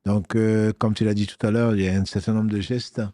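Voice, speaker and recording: A man's voice: on the low side, level tone, a little fast, warm tone, dry recording, studio quality. A man answering as a religious teacher on a talk show. He explains rulings firmly and weaves in Arabic phrases.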